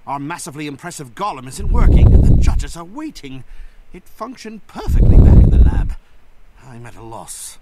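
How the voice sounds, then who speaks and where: A man's voice: a little high, big pitch swings, normal speed, heavy background noise. A man playing a fussy, panicky little inventor and crew chief in a video game, agitated and urgent. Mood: panicked.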